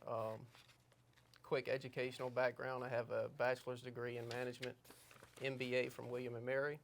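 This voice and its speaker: Gravelly, flat-voiced man in his thirties. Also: medium pitch, a little fast, a little hiss or hum. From Virginia, USA. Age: 30s. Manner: modest, dry humor. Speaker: new staff member, guest. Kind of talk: casual podcast chat.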